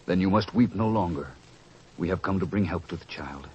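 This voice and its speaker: An actor playing a grave, compassionate apostle in an old-fashioned radio drama, speaking formally. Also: male voice, sits low, a little fast, mellow, husky, highs cut off. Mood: resolute. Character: grave, compassionate apostle, humble leader of the group.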